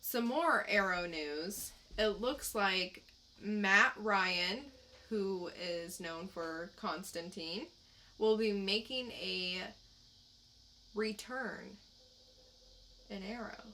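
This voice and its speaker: A woman's voice: mid-range voice, drawn-out words, bright, forceful tone, a little hiss or hum. A woman co-hosting a podcast, sharing entertainment news in casual chat. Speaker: podcast co-host.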